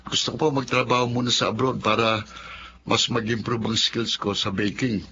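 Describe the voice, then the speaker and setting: A man playing a polite, hesitant young student in a Filipino radio drama, shy and quiet in manner. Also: medium pitch, flat delivery, normal speed, close to an everyday voice. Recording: dull, noisy recording.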